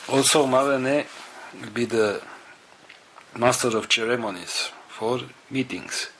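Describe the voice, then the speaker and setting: A man leading a group, making organizational announcements in halting, non-native English broken into short phrases with pauses. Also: mid-range voice, noisy background.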